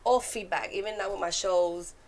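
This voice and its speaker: A woman from New York with a Puerto Rican Bronx accent, a comedian guest chatting fast and animatedly on a podcast. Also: medium pitch. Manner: fast-talking, animated, laughs often. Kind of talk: casual podcast chat.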